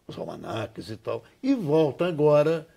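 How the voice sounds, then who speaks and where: A man's voice: a little high, big pitch swings, moderate pace, creaky, dark tone, husky. An older man from Rio with a Carioca accent, explaining things as a talk show guest in an erudite, effusive way with ornate phrasing. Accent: Carioca Brazilian Portuguese. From Brazil (Rio de Janeiro). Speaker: talk show guest, magazine and almanac presenter. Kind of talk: conversational promotional explanation.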